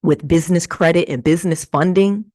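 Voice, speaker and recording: A woman's voice: on the low side, brisk, a little mellow, dry recording, clean studio sound. An American woman in her forties from Connecticut talking casually and emphatically, coaching and motivating listeners on a livestream, persuasive and fond of repeating key phrases. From Connecticut, United States. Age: 40s. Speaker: real estate investor and coach hosting a livestream.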